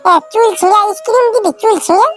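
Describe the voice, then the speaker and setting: An actor voicing a cheeky village scrounger in a comedy cartoon, wheedling and nagging in a rural Purulia Bengali accent. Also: male voice, very high voice, fast talker. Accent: rural Purulia, West Bengal. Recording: music behind the voice.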